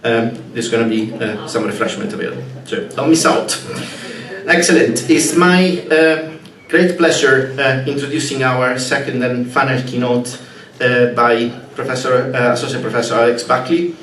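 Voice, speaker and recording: A man's voice: mid-range voice, normal speed, fairly bright tone. A man hosting a conference, making announcements and introducing a keynote with many hesitant fillers. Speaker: conference host. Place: conference room. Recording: echoey room, distant mic, faint noise.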